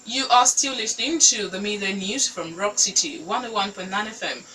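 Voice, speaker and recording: A woman's voice: medium pitch, moderate pace, pushed and bright, distant mic, a little hiss or hum, poor audio. A woman with a Nigerian accent reading scripted news as a newsreader.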